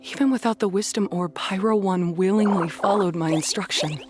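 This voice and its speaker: A woman playing a proud but humbled beast tamer in a video game, speaking in a measured, formal and sincere way. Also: mid-range voice, over music, close to an everyday voice. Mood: remorseful.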